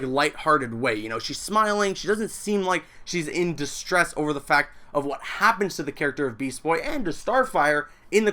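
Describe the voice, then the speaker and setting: Male YouTuber, chatty game news commentary, eager delivery, slightly high voice. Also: a bit bright. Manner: enthusiastic, chatty. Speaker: gaming YouTuber.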